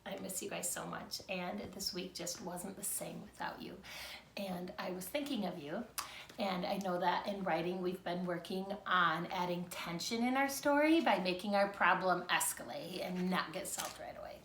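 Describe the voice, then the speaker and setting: A woman teacher doing an animated storybook read-aloud for young children, with teaching asides, recorded indoors. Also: fairly low pitch, moderate pace, slight background noise. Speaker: second grade teacher. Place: indoors at home. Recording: roomy echo, distant microphone.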